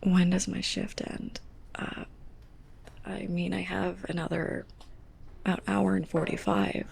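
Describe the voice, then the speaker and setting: Casting: actress as prickly young woman library assistant with a secret crush, heavy vocal fry, unhurried and breathy, ASMR roleplay. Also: fairly low pitch, flat delivery, slight background noise. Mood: flustered, hopeful. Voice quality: soft, breathy, often near a whisper. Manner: halting, awkward, self-conscious. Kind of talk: ASMR roleplay, intimate monologue to the listener.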